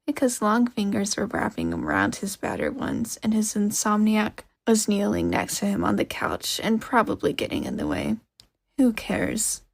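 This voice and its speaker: Podfic narrator, female: expressive scripted fiction read, creaky edge, animated pitch, dry recording. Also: no echo.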